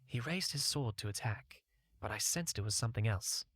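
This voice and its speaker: Male story narrator, lower register, dry recording, reading first-person fantasy fiction. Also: lively intonation, normal speed, fairly bright tone, slightly rough, no echo. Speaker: audiobook narrator.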